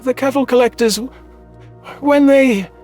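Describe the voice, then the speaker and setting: Alien survivor role, male actor: very high male voice, distraught, bitter, agitated, light music under. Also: measured pace, darkish tone. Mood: hysterical, bitter.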